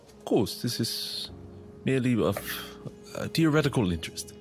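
A man's voice: mid-range voice, very animated, normal speed, faint music behind, pitched above the performer's own voice. A man voicing a courteous, curious inquisitor priest who speaks formally and hesitantly.

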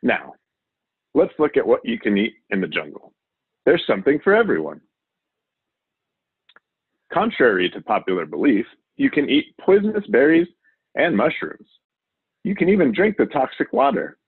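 A man voicing a wild, overconfident male TV survival show host from a children's storybook, excitable and showy. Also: medium pitch, moderate pace, creaky, long pauses, husky, no room sound, voice pushed up, heavily acted. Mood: cheerfully reckless. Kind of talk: children's storybook character reading. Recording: narrow, phone-like audio.

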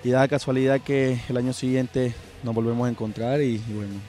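A footballer reminiscing about his career in a relaxed interview. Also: mid-range voice, brisk, faint music behind. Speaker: teammate, footballer. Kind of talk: interview answers.

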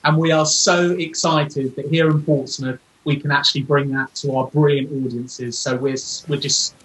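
A man's voice: fairly high pitch, fairly even intonation, normal speed, fairly bright tone. A man with an English accent giving an upbeat promotional interview over a video call, theatrical and enthusiastic. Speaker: pantomime dame actor, interview guest. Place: small home room. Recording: roomy video-call sound.

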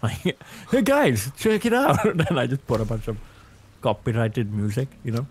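A man's voice: medium pitch, animated, moderate pace, a little mellow. Giggly, teasing young man over noisy mic. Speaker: streamer, co-op guest. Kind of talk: casual gaming banter. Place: home streaming room. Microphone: studio mic in front of him. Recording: home mic with clear background noise.

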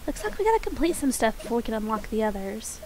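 A woman streaming a game, chatting casually and softly, a little nasal, her voice pitched up, with small laughs between remarks. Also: very animated, quick pace, a little hiss or hum, rough recording. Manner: soft-spoken, chatty, frequent small laughs; voice pitched up from her natural register.

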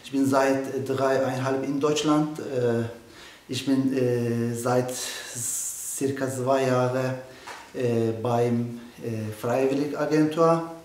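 A man's voice: medium pitch, steady tone, takes it slowly, a little mellow, reverberant, a little hiss or hum. A man, speaking hesitantly as a language learner. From Turkey. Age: 30s. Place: indoor studio backdrop.

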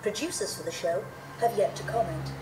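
A woman's voice: mid-range voice, a little fast, dark tone, lightly acted. A woman acting a formal entertainment news reporter, reading a scripted report. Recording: distant, very noisy playback.

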